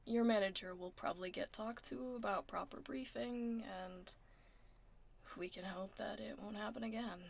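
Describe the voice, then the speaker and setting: Actress as laid-back werewolf office admin, tomboyish, soft ASMR delivery with plenty of fry, close mic, faint noise. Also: female voice, medium pitch, fairly even intonation, moderate pace, natural voice. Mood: confiding, wry. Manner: casual, reassuring, wry, murmuring. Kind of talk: ASMR roleplay, soft casual conversation. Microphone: close binaural ASMR microphone.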